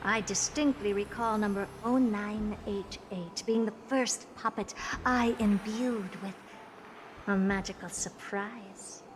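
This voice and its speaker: A woman's voice: mid-range voice, fairly even intonation, takes it slowly, background noise, lightly acted. A woman playing a condescending, self-assured genius sorceress in a video game, with theatrical delivery. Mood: haughty. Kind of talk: video game character acting. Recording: game music behind the voice.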